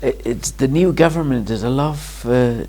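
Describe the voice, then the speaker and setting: A man from Afghanistan answering interview questions as a guest, pausing and restarting often. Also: mid-range voice, dark tone. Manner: hesitant, many restarts and fillers, laughs in places. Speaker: guest, charity founder.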